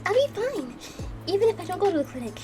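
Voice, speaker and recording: Woman putting on a high, timid anime heroine. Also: very animated, fast talker, music in the background. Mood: meek. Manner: hesitant, polite, easily flustered. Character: timid, sweet, clumsy young anime heroine. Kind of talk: visual novel character voicing.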